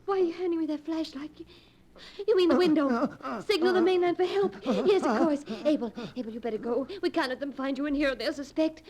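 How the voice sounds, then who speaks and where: An actress playing a frightened, desperate young daughter in an old radio horror drama, melodramatic and halting, with gasping breaths. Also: female voice, high voice, a little mellow, lightly acted. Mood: fearful, urgent. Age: young adult. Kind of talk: 1940s radio horror acting. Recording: noisy old-time radio recording.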